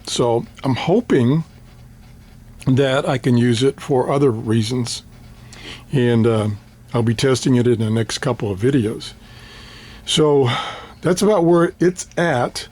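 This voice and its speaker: An older man, slightly hoarse, casually showing his radio antenna and its paperwork with many fillers and restarts. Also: medium pitch, slow pace, long pauses, darkish tone. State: slightly hoarse, clearing throat. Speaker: ham radio hobbyist vlogger. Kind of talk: casual show-and-tell.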